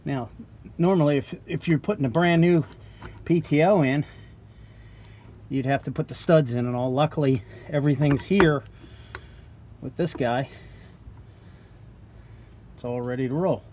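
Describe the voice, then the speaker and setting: A man's voice: a little high, measured pace, long pauses, a little mellow, dull audio, a little hiss or hum. A man casually explaining, step by step, how he fits a part onto a truck while he works outdoors, recorded on a handheld phone. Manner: plain-spoken, explaining step by step. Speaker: truck mechanic. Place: outdoors beside a truck, cloudy day.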